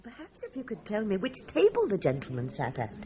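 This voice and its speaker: A woman's voice: medium pitch, huge pitch swings, brisk, a crowd in the background. A woman playing a helpful, talkative, worldly restaurant manageress in a radio drama. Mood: helpful, confiding. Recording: slightly dull radio drama recording.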